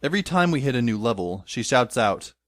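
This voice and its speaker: A man's voice: medium pitch, sing-song, brisk, no room sound, natural voice. A man playing a wry, sardonic teenage schoolboy who narrates his adventure as dry, descriptive audio drama storytelling. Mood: wry narrating. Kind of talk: scripted first-person narration in audio drama.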